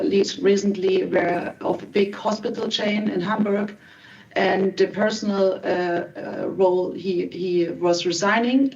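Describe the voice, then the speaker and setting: A middle-aged German woman with a German accent, presenting her company in a webinar, with slightly halting phrasing. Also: fairly low pitch, a little mellow. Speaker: executive recruiter. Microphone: video call. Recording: distant dull video call audio.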